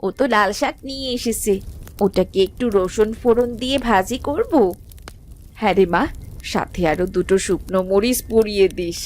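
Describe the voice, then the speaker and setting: A woman's voice: medium pitch, moderate pace, close to an everyday voice. A woman playing a fussing, scolding village family woman in a cartoon story, with music behind. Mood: fussing, caring. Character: fussing, scolding village women of a family, wife and mother-in-law.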